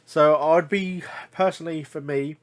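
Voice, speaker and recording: A man's voice: on the high side, fairly even intonation, moderate pace. Congested casual male vlogger. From United Kingdom. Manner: rambling, many fillers and self-corrections. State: congested, blocked nose. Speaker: figure collector reviewing deals. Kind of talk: casual screen-share commentary.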